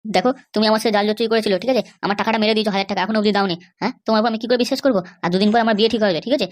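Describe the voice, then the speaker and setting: A woman's voice: medium pitch, steady tone, very fast, close to an everyday voice. A woman voicing an irritable, anxious young groom-to-be in a comedy cartoon, scolding in an exasperated way. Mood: indignant.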